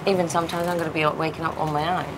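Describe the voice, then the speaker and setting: Chatty young woman, low voice. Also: racing, background noise. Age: 20s. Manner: chatty, lively, candid. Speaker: reality show contestant. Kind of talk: casual chat on a date. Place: outdoors on a boat at sea.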